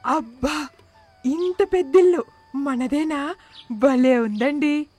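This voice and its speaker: A woman's voice: high voice, slow, warm tone. A woman voicing a naive, anxious young bride in a cartoon moral story, wide-eyed and questioning. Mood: delighted. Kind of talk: animated moral story dialogue.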